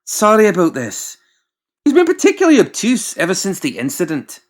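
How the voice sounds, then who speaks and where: A man voicing an exasperated, excitable mad doctor in an audiobook, snappish, fussy and defensive. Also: high voice, a little fast, pitched above the performer's own voice. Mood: apologetic, chatty. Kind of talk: audiobook character voice.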